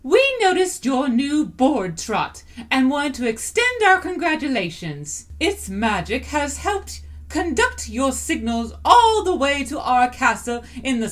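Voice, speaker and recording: Woman as a high-voiced, formal royal sea pony. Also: heavily acted. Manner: formal, courtly, measured. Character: gracious, formal sea pony queen reading a letter. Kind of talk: cartoon voice acting, letter voice-over.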